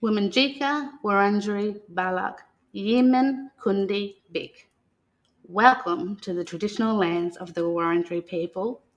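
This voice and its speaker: Aussie woman guest storyteller, gentle, normal pitch, talking and reading for kids. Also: a little slow. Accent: Australian. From Australia. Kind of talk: unscripted talk and picture-book read-aloud.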